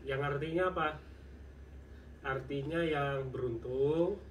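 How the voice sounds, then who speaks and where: A man, the vlogger's husband, chiming in quietly and casually during a home chat. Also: mid-range voice, takes it slowly, dull audio. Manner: quiet, laid-back interjections. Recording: distant mic, faint room noise.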